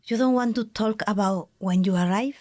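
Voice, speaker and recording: Gentle woman interviewer, dry sound. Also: medium pitch, normal speed, dry recording. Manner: gentle, non-native English phrasing. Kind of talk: podcast interview.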